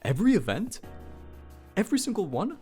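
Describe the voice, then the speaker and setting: A man's voice: high-pitched, very animated, a little fast, a little mellow, husky, faint music behind. A man giving casual, exclamatory reaction commentary as a video creator, thinking aloud and swearing freely.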